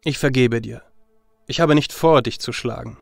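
A man playing a noble, repentant warrior hero in a fantasy audio drama, speaking earnestly and with measured solemnity. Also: medium pitch, gravelly, no room sound, clean studio sound, soft background music, natural voice. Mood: gentle, forgiving.

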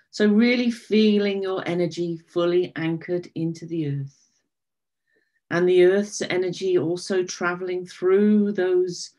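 Slow soothing woman leading meditation. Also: on the low side. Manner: soft, soothing, unhurried. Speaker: meditation guide. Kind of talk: guided meditation.

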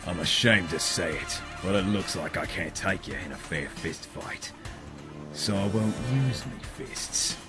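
A man's voice: deep voice, expressive, a little slow. A man voicing a vengeful, bitter Tasmanian devil, menacing and self-righteous, in a comic dub. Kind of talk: amateur comic dub voice acting. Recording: music loud behind the voice.

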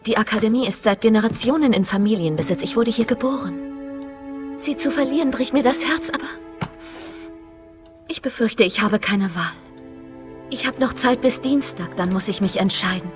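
Actress as an earnest, expressive cartoon aunt. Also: female voice, a little high, moderate pace, pauses often, music in the background, lightly acted. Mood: worried, resigned. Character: earnest aunt running a struggling family riding academy.

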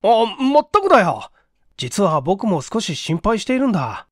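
A man acting an excitable young office clerk. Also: sits high, moderate pace, no room sound, clean studio sound, pitched above the performer's own voice, heavily acted. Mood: worried. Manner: excitable, stammering when teased. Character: young male apartment clerk, flustered, earnest, gossipy.

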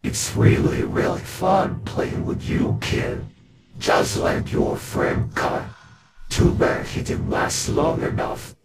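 Very slow, gravelly monster rabbit. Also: male voice, high voice, sing-song, heavily acted. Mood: taunting. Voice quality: gravelly, growling, breathy rasp. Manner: slow, taunting, drawn-out menace. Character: menacing monstrous male animatronic rabbit, sadistic and godlike. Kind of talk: villain voice lines for a horror game fan video. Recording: music under the voice.

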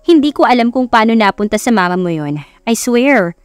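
A woman's voice: a little high, lively intonation, fairly quick, smooth, no room sound, soft background music, lightly acted. A Filipino woman voicing a defensive, flustered young woman hiding a crush, in an audiobook romance. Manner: snappy, flustered.

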